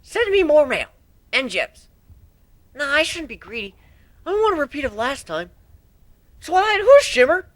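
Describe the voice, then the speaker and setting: A man voicing a cheeky, excitable young dragon boy in a storybook reading. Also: very high voice, normal speed, long pauses, pitched above the performer's own voice, full character voice. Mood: grumbling, eager. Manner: grumbling, boyish, excitable. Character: young male dragon, cheeky, eager, easily smitten.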